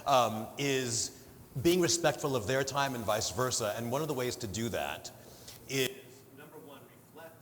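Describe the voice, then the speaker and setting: A man with a big voice speaking on a conference panel, lively and jokey, acting out little examples. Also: medium pitch, normal speed. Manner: lively, jokey, talks in asides and acted examples. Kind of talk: panel discussion. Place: large conference hall. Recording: conference hall reverb with faint room noise.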